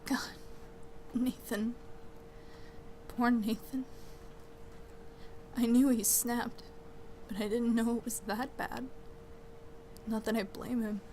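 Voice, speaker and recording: Actress as a subdued, flat-toned woman on a noisy call. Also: slow pace, long pauses. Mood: shaken, sorrowful. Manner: subdued, hesitant. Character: shaken, sorrowful woman, friend of the accused, subdued. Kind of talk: audio drama acting as a recorded call. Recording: internet call with rumbling background noise.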